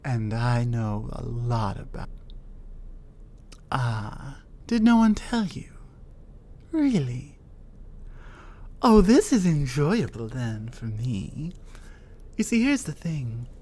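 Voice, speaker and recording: Dominant female troll, voiced by a woman. Mellow, lively pitch, slow and taunting, with whispers. ASMR roleplay. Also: a little hiss or hum, full character voice. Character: territorial, dominant female troll living alone in a cave.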